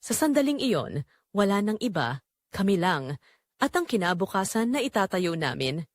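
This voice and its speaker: A woman with a Filipino accent narrates a dramatic first-person romance story in a measured, expressive way. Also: mid-range voice, no room sound, clean studio sound.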